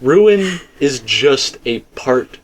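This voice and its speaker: A man's voice: medium pitch, expressive, drawn-out words, a little hiss or hum, rough recording. A man in a casual, rambling fan discussion, repeating himself for emphasis as he co-hosts. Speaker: co-host discussing video game theories.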